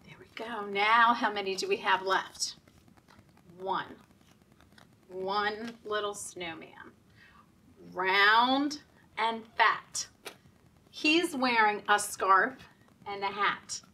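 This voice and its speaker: A woman leading story time for young children in a sing-song, deliberate, encouraging way, often asking the children questions. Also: mid-range voice, pushed and bright, distant mic.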